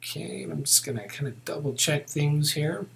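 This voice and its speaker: A man explaining a 3D modeling task step by step in a relaxed, methodical tutorial voice. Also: on the high side, normal speed, creaky. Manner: relaxed, methodical, narrating each step as he works. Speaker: software tutorial instructor. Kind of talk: screen-recorded software tutorial narration.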